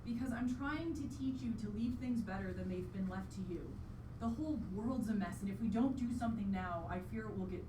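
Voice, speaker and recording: A woman's voice: mid-range voice, a little fast, noisy background, close to an everyday voice. A woman playing an earnest, determined mother in a live radio play. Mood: firm, patient. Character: earnest, determined mother on a bog outing with child. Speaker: stage actor. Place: live theatre performance in a venue. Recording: roomy distant live stage recording, loud music.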